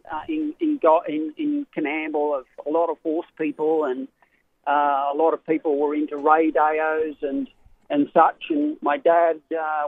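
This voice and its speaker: A man's voice: a little high, creaky. A middle-aged Australian man, a racehorse trainer, talks in a rambling, hesitant way with many fillers as a radio guest over a phone line. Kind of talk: casual radio interview. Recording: tinny phone line with faint hiss.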